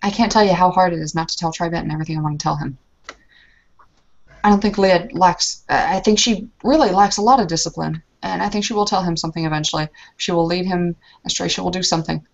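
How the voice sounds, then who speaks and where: Woman, low voice, anxious, rambling, muffled lo-fi recording. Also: fast, gravelly. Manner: anxious, rambling, many restarts. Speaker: player in a tabletop roleplay. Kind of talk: in-character roleplay conversation.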